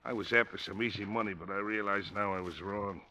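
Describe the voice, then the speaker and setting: An actor playing a nervous, remorseful small-time crook with slangy, excitable speech in a 1940s radio drama. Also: male voice, fairly low pitch, fast talker. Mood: urgent, remorseful. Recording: noisy old radio recording.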